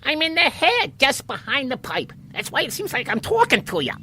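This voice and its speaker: A woman's voice: high voice, wildly swooping pitch, moderate pace, pushed and bright, a little hiss or hum, exaggerated voice. A woman playing a plucky, chatty water molecule living inside a snowman, matter-of-fact and pushy, in a children's audio drama.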